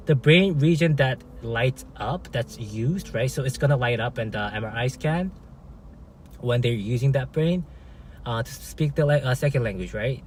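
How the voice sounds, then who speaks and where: A man whose first language is Korean, chatting casually on a live stream, halting, with many fillers and restarts and frequent "right?" checks. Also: mid-range voice, slight background noise. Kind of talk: casual live-stream chat, reading viewer comments.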